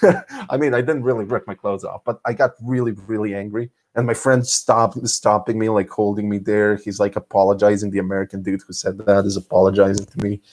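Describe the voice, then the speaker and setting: A young man from Serbia with a Serbian accent, telling stories in a casual solo vlog, restarting often and swinging his pitch up and down. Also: a little fast. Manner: animated, frequent restarts and laughs, pitch swinging widely. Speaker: vlogger host. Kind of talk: casual monologue storytelling.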